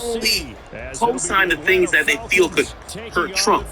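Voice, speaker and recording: A man's voice: high voice, lively intonation, unhurried, music in the background. A man from Jamaica ranting about politics in a blunt, profane way, often stammering and restarting his sentences. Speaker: streamer. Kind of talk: casual political rant.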